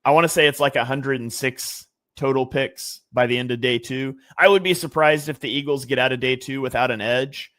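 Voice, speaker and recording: A man's voice: mid-range voice, brisk, few pauses, a bit bright, no echo. A man talking casually as a guest analyst on a sports podcast, thinking aloud with fillers and restarts.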